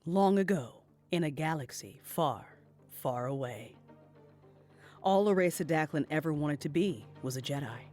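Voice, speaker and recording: A man's voice: a little high, expressive, measured pace, darkish tone, soft background music. A man announcing a promo in scripted, dramatic trailer narration.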